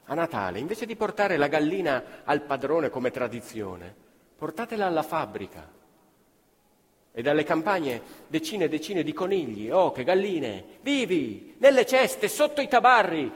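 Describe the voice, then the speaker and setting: A man telling a story on stage in the first person, emphatic and lively. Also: fairly high pitch, husky. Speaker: stage actor narrator. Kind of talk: theatrical storytelling. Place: theatre stage. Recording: live theatre with long reverb. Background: live violin and piano.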